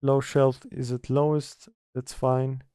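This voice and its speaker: A man giving a casual software tutorial, hesitant and thinking aloud as he works. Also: mid-range voice, level tone, takes it slowly, smooth, dry recording, clean studio sound. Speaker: tutorial presenter. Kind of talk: casual software tutorial, talking through steps.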